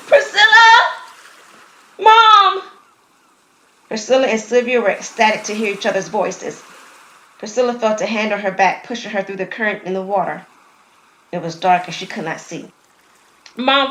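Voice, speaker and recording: A woman performs a scripted audio story as its narrator. Her voice sits at a middle pitch, with deliberate, theatrical delivery. The room adds clear echo, with faint noise behind her.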